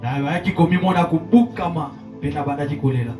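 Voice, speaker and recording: A man interpreting a sermon, quickly repeating each line after the preacher. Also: on the high side. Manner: quick echoing delivery that follows the preacher. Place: church hall. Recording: echoey church hall, far from mic, music behind.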